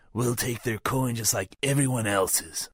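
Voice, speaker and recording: An actor voicing a menacing, greedy bandit in a fantasy video game. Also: male voice, mid-range voice, slow pace, fairly bright tone, breathy edge, dry recording, clean studio sound, heavily acted. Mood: gloating.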